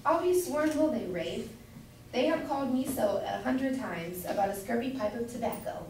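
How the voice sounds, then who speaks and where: A woman's voice: fairly high pitch, animated, normal speed, darkish tone. A young woman acting a chatty, doting, meddling citizen's wife who gushes and interjects in a staged reading of a Jacobean comedy. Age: young adult. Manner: gushing, interjecting. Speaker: student actor. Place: indoor room, far from the mic. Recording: distant voice, very echoey room, faint noise.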